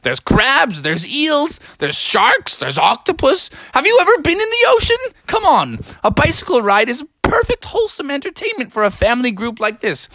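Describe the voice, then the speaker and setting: A man's voice: extremely high pitch, huge pitch swings, normal speed, hardly any pauses, bright, forceful tone, no echo, voice pushed up, full character voice. A man voicing a boisterous, pushy beaver father in a made-up bedtime story, blustering and overexcited. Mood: insistent.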